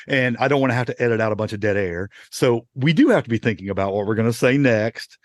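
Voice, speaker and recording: A man's voice: mid-range voice, fast talker, no echo, clean studio sound. A man hosting a podcast in casual chat, rambling and correcting himself, laughing often. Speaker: podcast host.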